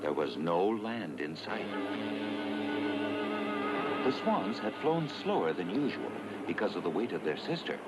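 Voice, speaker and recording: A man narrating a cartoon fairy tale in a dramatic, expressive storytelling style over loud music. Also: low-pitched, unhurried, long pauses, poor audio.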